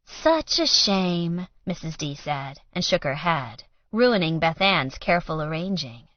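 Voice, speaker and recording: A woman with a fairly low voice narrates an audiobook story. The recording is very dry and a little dull at the top, with scripted prose read aloud. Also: normal speed, dry recording.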